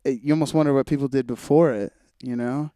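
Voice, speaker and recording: A man's voice: medium pitch, fairly even intonation, fast talker, dark tone, no echo. A man of twenty-five chatting casually as a podcast host, thinking aloud in a wry, hesitant way. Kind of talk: casual conversational podcast chat.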